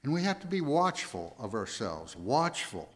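An American man in his seventies preaching a sermon, going verse by verse in a halting, deliberate way and repeating phrases for emphasis. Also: mid-range voice, very animated, slight background noise. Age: 70s. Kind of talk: sermon, verse-by-verse Bible exposition.

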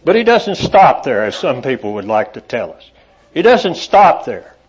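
Husky-voiced man preaching from scripture. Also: medium pitch, very animated. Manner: halting, many fillers, rises in emphasis when reading scripture. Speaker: lay preacher. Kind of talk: scripture reading and sermon. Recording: dull lo-fi recording.

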